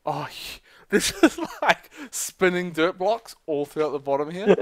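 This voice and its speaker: A young man chatting casually while playing a game with a friend, reacting quickly. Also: fairly high pitch, no room sound, clean studio sound. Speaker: gamer. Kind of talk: casual let's play chat.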